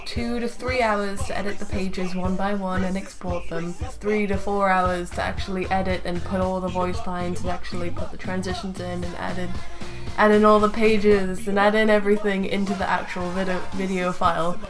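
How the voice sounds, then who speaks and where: A woman's voice: medium pitch, flat delivery, normal speed. Casual young woman vlogger with music behind. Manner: jokey, casually sweary. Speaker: YouTube host and voice actor. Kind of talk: casual chatty Q&A vlog. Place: small bedroom, indoors. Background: background music.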